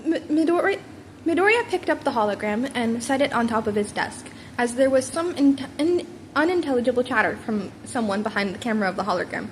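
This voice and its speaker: A woman's voice: a little high, animated, a little fast, a little hiss or hum. A woman narrating fan fiction expressively and informally, sometimes stumbling over words and rereading them. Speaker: fan fiction audiobook reader. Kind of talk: expressive scripted story narration.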